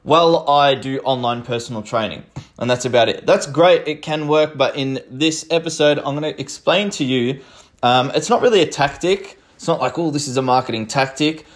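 Slightly croaky man coaching. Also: medium pitch. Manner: chatty and informal, addresses listeners as "you guys", frequent "um" and "like". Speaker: small business coach and podcast host. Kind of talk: casual podcast monologue on marketing.

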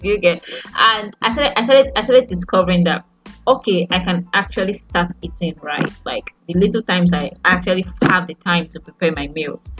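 A young Nigerian woman with a Nigerian accent, a podcast host talking casually and haltingly, full of fillers and restarts. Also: lowish voice, fairly bright tone, rough recording. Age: young adult. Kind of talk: casual chat.

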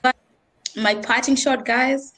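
A woman's voice: high-pitched, steady tone, slow pace, fairly bright tone. A young woman from Kenya with a Kenyan accent, chatting animatedly on a podcast and switching between English and Swahili slang. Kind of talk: casual podcast chat.

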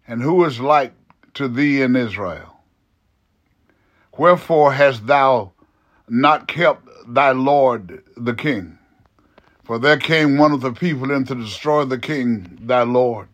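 A man's voice: mid-range voice, slow pace, fairly bright tone. A man reading the lines of an earnest young warrior pleading with a king, his voice a little raised.

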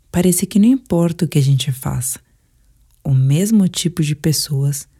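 Woman, Brazilian accent, low-pitched, warm dark tone, calm measured sleep-meditation narration, softly breathy endings. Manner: calm, soothing, measured delivery. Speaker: guided meditation instructor.

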